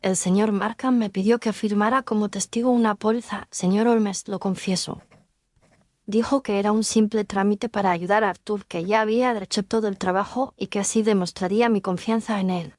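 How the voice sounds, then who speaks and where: A woman's voice: mid-range voice, fairly even intonation, normal speed, darkish tone, no echo, close to an everyday voice. Earnest young Victorian fiancée, acted by a woman, dry recording. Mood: earnest, confessing. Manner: polite, confessional. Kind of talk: Spanish audio drama mystery acting.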